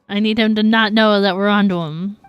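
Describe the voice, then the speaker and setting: A woman's voice: medium pitch, fairly even intonation, measured pace, clear, resonant tone, no room sound, dull audio, faint music behind. A woman live-streaming a game with casual, chatty, playful commentary.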